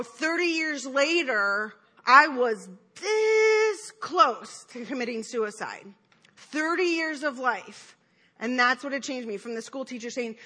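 A woman in her forties preaches in an easy, conversational way, acting out her quoted thoughts with playful self-mockery. Her voice sits high and sounds bright and clear. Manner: chatty and self-deprecating, with playful put-on quotes. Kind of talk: conversational sermon.

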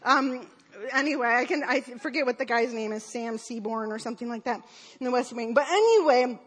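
A woman's voice: fairly high pitch, a little fast, slightly rough. A woman in her forties giving a chatty, conversational sermon, self-deprecating and playful, acting out quoted thoughts. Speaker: preacher.

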